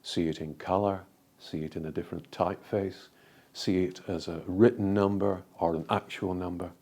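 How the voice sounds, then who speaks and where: Low, mellow man guiding a meditation. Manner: calm, gentle, deliberate with frequent pauses. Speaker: meditation guide. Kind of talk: guided meditation.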